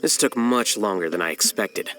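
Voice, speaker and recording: A man's voice: lowish voice, normal speed, lightly acted. An actor playing an aloof, blunt young male scholar in a game, dry, matter-of-fact and dismissive, with game music behind. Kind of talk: game voice acting.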